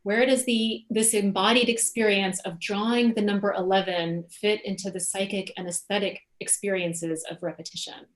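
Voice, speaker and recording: A woman's voice: mid-range voice, fairly even intonation, moderate pace, hardly any pauses. A woman moderating a graduate seminar Q&A, reading audience questions aloud with frequent ums and uhs.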